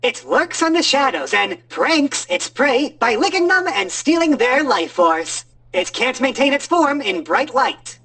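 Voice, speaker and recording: Casting: woman as handheld creature encyclopedia for a game podcast, high husky voice, measured recital. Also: very animated, fairly bright tone, heavily acted, low-quality recording. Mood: informative.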